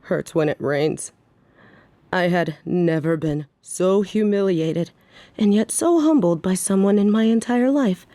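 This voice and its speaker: Low-voiced, brooding mafia boss played by an woman. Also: a little mellow, no room sound. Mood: humbled, admiring. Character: menacing, protective female mafia boss, short-tempered but devoted. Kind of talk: scripted audio roleplay acting.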